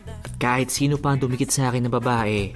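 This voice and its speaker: Man playing a placating young Filipino boyfriend, mid-pitched. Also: steady tone, fast talker, lightly acted. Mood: apologetic, tender. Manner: placating, coaxing. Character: placating, affectionate young boyfriend avoiding conflict. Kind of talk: Filipino radio drama dialogue. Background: background music.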